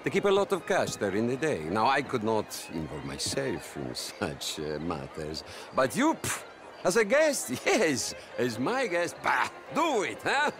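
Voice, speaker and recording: A sneering Italian crime boss. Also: male voice, medium pitch, wildly swooping pitch, over music. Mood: sly. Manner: contemptuous, chuckling, drawn-out.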